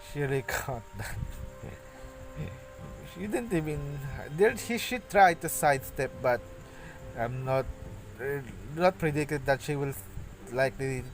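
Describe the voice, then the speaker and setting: A man giving practice play-by-play commentary on an esports match, halting with frequent restarts and bursts of excitement on big plays. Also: medium pitch, poor audio. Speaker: esports caster.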